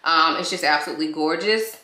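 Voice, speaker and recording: A woman's voice: low-pitched, fairly quick, bright, forceful tone, reverberant. Chatty woman getting over a cold. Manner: chatty, enthusiastic, repeats praise. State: recovering from a cold, slightly hoarse. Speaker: beauty vlogger. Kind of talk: casual review to camera. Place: living room at home. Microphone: camera in front, waist-up framing.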